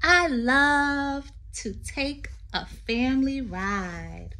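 A middle-aged woman reading a poem aloud to young children like a teacher, expressive and sing-song, with chatty asides. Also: high voice, extremely slow. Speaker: teacher reading to her class. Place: small room indoors. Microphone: phone at arm's length.

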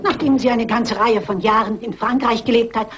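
An older actress playing a shrewd, stubborn elderly lady sleuth in a crime radio play, brisk, dignified and self-assured. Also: on the high side, steady tone, husky. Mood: determined.

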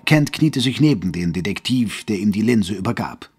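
An actor narrating a detective mystery audio drama in a measured, descriptive way. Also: male voice, on the low side, lively intonation, a little mellow, slightly rough. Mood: measured, atmospheric. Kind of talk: scripted narration.

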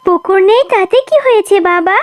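An eager little fox girl from a children's cartoon. Her voice is extremely high and childlike, and she speaks with emphatic, kindly keenness. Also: brisk. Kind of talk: Bengali children's cartoon dialogue.

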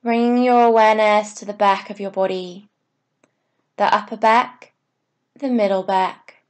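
A woman yoga teacher leading a guided meditation, giving soothing, unhurried instructions in short phrases with pauses. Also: medium pitch, fairly even intonation.

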